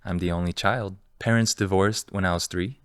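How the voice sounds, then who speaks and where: A man in his thirties from Los Angeles, a guest entrepreneur telling his story in a relaxed, candid way with many fillers. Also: deep voice, normal speed, no room sound, studio quality. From Los Angeles, California. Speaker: guest, entrepreneur and fund manager. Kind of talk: casual interview storytelling.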